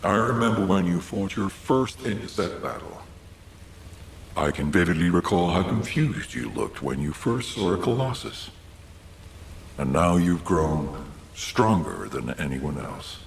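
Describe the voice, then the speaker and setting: An actor playing a thoughtful, earnest scholar in a game, speaking with reflective, gentle formality. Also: male voice, low-pitched, big pitch swings, measured pace, vocal fry, exaggerated voice. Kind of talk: scripted game dialogue. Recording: roomy echo, faint background noise.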